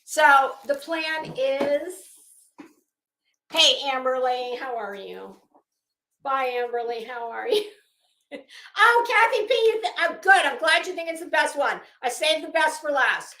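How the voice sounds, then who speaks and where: Woman, fairly high voice, unhurried pace, sarcastic casual commentary, emphatic and exasperated, livestream host. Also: expressive, bright, forceful tone. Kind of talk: casual commentary on a legal case, chatting with viewers. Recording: echoey room.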